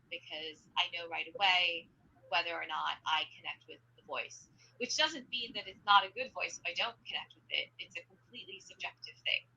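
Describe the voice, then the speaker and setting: Bright-toned woman agent answering questions. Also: lowish voice, vocal fry, breathy edge, highs cut off. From grew up speaking Spanish and Norwegian at home. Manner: chatty, many ums and restarts. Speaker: literary agent, guest. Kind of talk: live Q&A answers.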